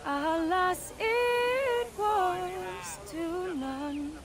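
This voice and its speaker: A wistful woman sings a farewell folk ballad for a game. Her voice is very high and extremely drawn out, with clear music behind it. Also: extremely slow, heavily acted.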